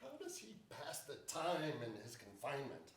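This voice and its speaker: A man's voice: mid-range voice, wildly swooping pitch, normal speed. Older male actor as bold king's officer, emphatic stage declamation, swooping intonation, echoey theater, distant microphone. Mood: grave reporting. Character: bold, forthright royal officer and messenger. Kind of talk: theatrical staged reading of Shakespearean verse. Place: large indoor theater stage before an audience.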